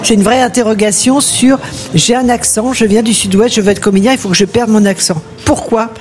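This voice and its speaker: A woman's voice: fairly high pitch, steady tone, a little fast, darkish tone, soft background music. A woman guest chatting on a radio show, enthusiastic and a little hesitant. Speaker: actress guest. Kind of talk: casual chat.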